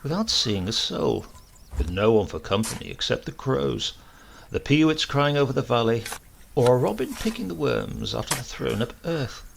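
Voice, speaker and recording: Male narrator, expressive storytelling, heavy gravelly creak, deliberate delivery, faint background noise. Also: mid-range voice. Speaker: reader of a comic short story. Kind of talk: scripted narration, literary storytelling.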